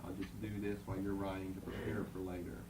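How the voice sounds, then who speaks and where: A man giving a Bible lecture to a class, spelling out words letter by letter and repeating key terms slowly. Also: low voice, level tone, very noisy. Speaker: Bible teacher. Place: classroom with students writing.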